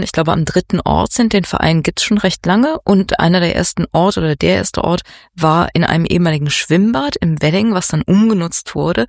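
A woman's voice: on the low side, no echo, studio quality. A woman speaking casually as an interview guest from an art collective.

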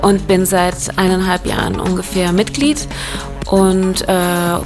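A woman from Italy, a native Italian speaker, introducing herself as a photographer in a casual, halting way with many fillers. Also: fairly low pitch, level tone, music in the background. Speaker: guest, photographer. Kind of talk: casual interview talk.

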